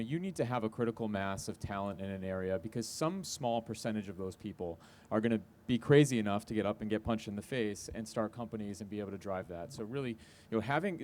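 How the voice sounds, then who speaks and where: Fast-talking low-voiced man. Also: darkish tone. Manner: quick, chatty, leans on "you know". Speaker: panelist. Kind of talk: panel discussion. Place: conference panel.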